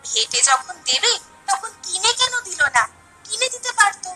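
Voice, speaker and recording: A woman's voice: very high-pitched, big pitch swings, normal speed, bright, forceful tone, faint music behind, full character voice. A woman playing an elderly, poor village mother in a cartoon story, fretful and protective, full of folksy questions and exclamations. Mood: alarmed, questioning. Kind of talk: Bengali animated moral story acting.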